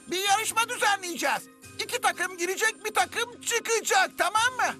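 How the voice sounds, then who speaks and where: A woman's voice: very high-pitched, normal speed, over music. A woman voicing a stern, menacing cartoon termite boss with a theatrical, commanding manner. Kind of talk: animated cartoon dub.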